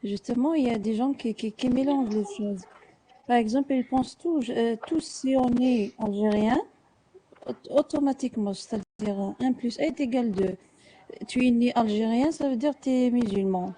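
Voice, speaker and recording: A woman with a fairly high, very soft-edged, mellow voice. She talks casually and personally in a live discussion, often halting and repeating little words as she looks for the right one. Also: slight background noise, low-quality recording. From Algeria. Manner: hesitant, searching for words, repeating small words. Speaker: live audio discussion participant.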